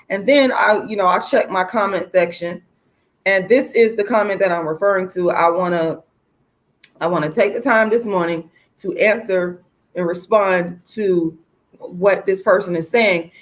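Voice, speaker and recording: A woman hosting a casual livestream, speaking to viewers in an informal, outspoken, emphatic way with a slightly hoarse voice. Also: medium pitch. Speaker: livestream host, activist.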